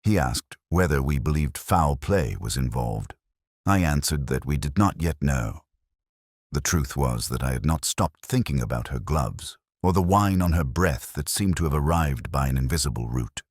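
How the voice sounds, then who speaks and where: A man narrating a scripted first-person detective story in a measured, solemn, literary manner. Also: very deep voice, animated, slightly rough, no echo, studio quality.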